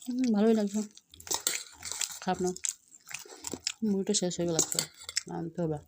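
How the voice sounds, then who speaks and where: A woman's voice: mid-range voice, moderate pace. A middle-aged woman chatting casually and homely for a family vlog. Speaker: family vlogger, mother. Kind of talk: casual home vlog chat. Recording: noisy home recording with clicks.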